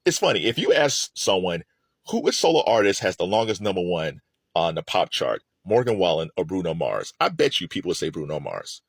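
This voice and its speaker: A deep-voiced man talks as a music commentator, with very lively pitch movement. He is conversational and emphatic, pressing his opinions straight to the listener. The recording is close and dry. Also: brisk, slightly rough, dry recording. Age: middle-aged.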